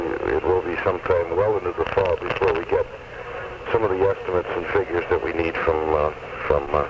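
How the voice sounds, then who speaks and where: A male local official answers an interview in a very low, halting voice. He is distant and muffled under loud noise on an old AM radio recording. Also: gravelly. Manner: halting, repeating words. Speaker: city administrator interviewed on radio.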